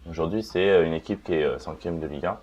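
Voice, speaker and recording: A man's voice: extremely low pitch, quick pace, darkish tone. A young man co-hosts a casual football chat. He speaks hesitantly, searching for words, and the recording is a bit dull and lo-fi. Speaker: football show co-host and Paris supporter. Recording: dull lo-fi sound.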